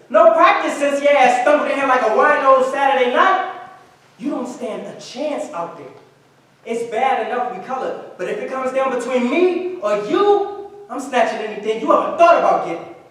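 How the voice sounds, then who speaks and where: An actor in a staged play reading playing a cocky, bitter young male acting student with a taunting, streetwise delivery. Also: high voice. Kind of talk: staged play reading, heated dramatic dialogue. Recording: distant microphone in very echoey room.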